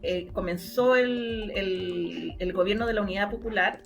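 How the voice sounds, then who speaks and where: Chilean woman recounting in halting phrases. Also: mid-range voice, vocal fry, soft background music. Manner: halting, searching for words, repeating phrases. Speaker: interviewee, researcher and former radio journalist. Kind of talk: informal interview, recounting research.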